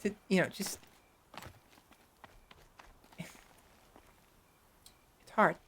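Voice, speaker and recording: A woman streamer chatting casually in a soft, hesitant voice with a gravelly vocal fry, talking close to her microphone. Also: extremely slow. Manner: soft, hesitant, self-reflective, many restarts. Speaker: VTuber streamer. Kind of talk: casual chat while livestreaming a game. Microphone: close desk mic.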